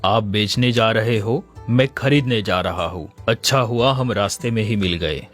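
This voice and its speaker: A man playing a smooth-talking, falsely innocent sheep thief in an animated village story. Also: lowish voice, measured pace. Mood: glib, friendly. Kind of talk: Hindi animated moral story dialogue. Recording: music under the voice.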